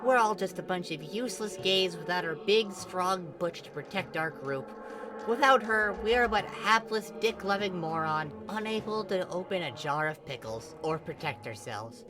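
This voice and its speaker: Woman doing a dramatic young male ghost, pitched up. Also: lively intonation, moderate pace, music in the background, close to an everyday voice. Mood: flattering, pleading. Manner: dramatic, flowery, indignant.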